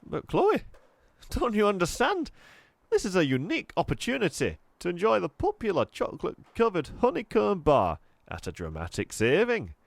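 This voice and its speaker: A man's voice: high voice, huge pitch swings, normal speed, gravelly, dry recording, voice pushed up, full character voice. A man doing a jolly, chatty shopkeeper. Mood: cheery salesy. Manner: eager salesman patter. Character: jolly, chatty middle-aged male shopkeeper.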